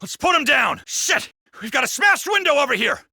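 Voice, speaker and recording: An actor playing a jaded, foul-mouthed, sardonic police officer in a video game. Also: male voice, very high voice, sing-song, normal speed, vocal fry, bright, forceful tone, no echo, full character voice. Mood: urgent, shouting. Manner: gossipy, sardonic, swearing. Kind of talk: scripted video game voice acting.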